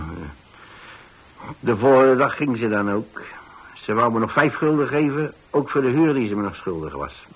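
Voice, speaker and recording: A kindly retired old man tells his story for a radio play. His voice is fairly low and husky. He rambles along conversationally, hesitating as he goes. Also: low-quality recording. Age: older. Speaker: radio actor, narrating lead.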